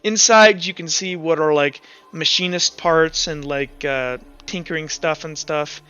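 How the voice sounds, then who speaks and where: A man's voice: on the high side, a little slow, pushed and bright, clean tone. A man working as game master, improvising the narration of a tabletop roleplaying game in a casual way. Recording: slightly dull audio, music underneath. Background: background music.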